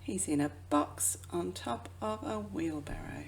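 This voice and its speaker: A woman who works as a children's educator reads a picture book aloud expressively, drawing out phrases and dropping into hushed asides. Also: lowish voice, unhurried, gravelly, a little hiss or hum. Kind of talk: children's storybook read-aloud.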